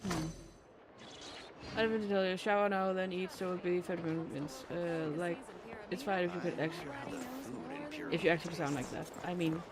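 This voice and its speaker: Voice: medium pitch, fairly even intonation, normal speed, a crowd in the background. A streamer giving casual, rambling and blunt commentary on a game, swearing freely.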